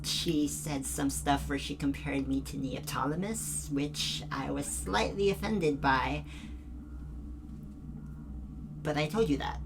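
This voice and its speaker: A woman's voice: deep voice, measured pace, creaky. Noisy recording, anxious young student, played by a woman. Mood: guarded, evasive. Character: guilt-ridden, frightened young student, anxious and defensive. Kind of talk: audio drama acting. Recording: noticeable background noise and ambience.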